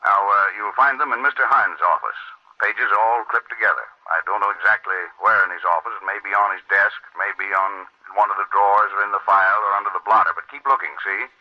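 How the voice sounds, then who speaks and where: A man playing a brisk, commanding senior lawyer in an old radio drama, businesslike and giving instructions. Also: lowish voice, fairly even intonation. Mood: brisk, urgent. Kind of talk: 1940s radio drama acting. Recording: muffled old radio recording.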